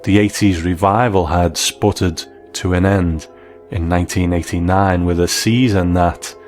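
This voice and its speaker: A man with a low voice narrates as the host of a documentary-style show. His delivery is measured and explanatory, following a script. Faint music plays behind him. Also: fairly even intonation. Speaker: host, interviewer.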